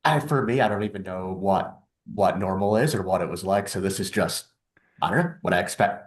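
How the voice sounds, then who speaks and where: Soft-spoken man with a low voice. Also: a little fast. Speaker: guest author. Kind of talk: casual interview answers.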